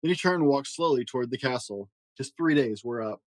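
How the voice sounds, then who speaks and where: Casting: male storyteller for children's picture books, expressive read-aloud style, mid-range voice, very dry recording. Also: mid-range voice, fairly quick, no echo. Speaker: storyteller and host.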